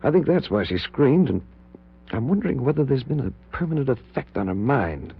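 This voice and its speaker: An actor playing a scientist's uneasy, God-fearing colleague in a 1940s radio horror drama, hesitant and grave. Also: male voice, medium pitch, sing-song, a little fast, creaky, breathy edge, heavily acted. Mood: troubled, hesitant. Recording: muffled, noisy 1940s radio recording with hum.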